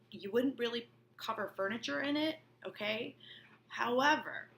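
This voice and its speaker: Woman, teacher, bright crisp-edged voice, story read-aloud, colloquial explanatory asides, checks understanding, slight background noise. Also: fairly high pitch. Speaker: teacher reading a novel aloud.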